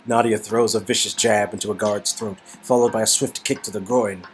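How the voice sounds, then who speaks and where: A man's voice: lowish voice, steady tone, brisk. A man narrating an interactive game story in a dramatic storytelling style, with music behind him. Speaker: narrator and co-performer.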